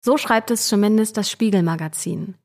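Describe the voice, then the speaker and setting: Woman, normal pitch, true crime podcast cohost reading the story in a chatty way. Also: normal speed, a little mellow. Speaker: podcast co-host.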